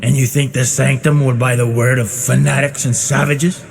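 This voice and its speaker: A man's voice: mid-range voice, flat delivery, normal speed, gravelly, natural voice. An actor playing a cynical, bloodthirsty henchman in a video game, crude, blunt and mocking. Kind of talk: scripted video game drama. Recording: reverberant hall, music behind.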